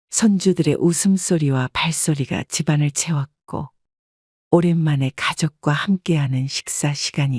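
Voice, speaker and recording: A woman calmly reading a scripted first-person family story as narrator. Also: deep voice, no room sound, clean studio sound.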